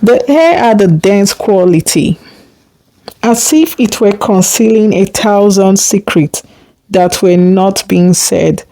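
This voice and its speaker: A woman narrating a folktale in a measured, deliberate storybook style. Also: medium pitch, expressive, warm tone, no room sound.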